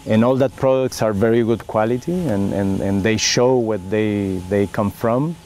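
A middle-aged Chilean winemaker with a Chilean Spanish accent, a native Spanish speaker, speaking earnestly in an oral history interview with many fillers and restarts. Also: male voice, on the low side, moderate pace, a little mellow, a little hiss or hum.

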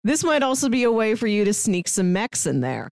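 A woman's voice: mid-range voice, very animated, fairly quick, a little mellow, dry recording, studio quality. A woman talking as a podcast co-host in a casual, conversational way. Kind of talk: casual chat about shows and films.

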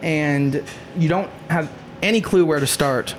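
A man's voice: fairly high pitch, measured pace, faint music behind. A man hosting a podcast, upbeat and hyping his guest in a casual, slangy way. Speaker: podcast host.

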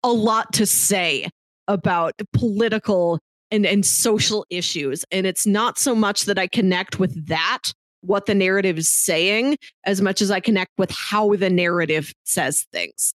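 A woman with a medium-pitched voice in a dry, close recording. She talks as a podcast co-host in casual review chat, laying out her opinions analytically and at some length, in articulate, conversational sentences. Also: measured pace, no room sound.

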